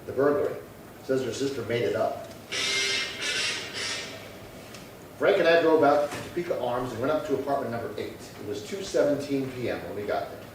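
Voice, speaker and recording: A man playing a calm, dutiful police sergeant in an old-time radio crime drama, speaking in a clipped, matter-of-fact, polite way. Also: medium pitch, fast talker, natural voice. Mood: businesslike. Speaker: actor. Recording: echoey room from far off, faint noise.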